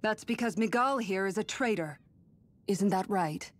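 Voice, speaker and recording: A woman's voice: mid-range voice, moderate pace, close to an everyday voice. An actress voicing a stern, loyal guardswoman and bitter younger sister in a video game, speaking formally and firmly, with an accusing edge. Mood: cold, accusing. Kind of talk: dramatic video game voice acting. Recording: game music under the dialogue.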